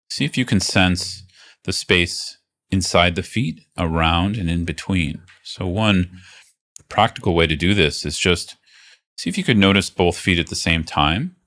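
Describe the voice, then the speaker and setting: Man, very low pitch, guided meditation, unhurried delivery, reassuring instruction, pauses between phrases. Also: a bit bright. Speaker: meditation teacher.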